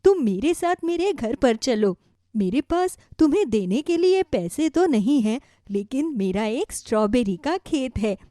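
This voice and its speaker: A woman voicing a kindly, grateful old grandmother in a children's story, gentle and affectionate. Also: high voice, expressive, unhurried, dry recording, studio quality.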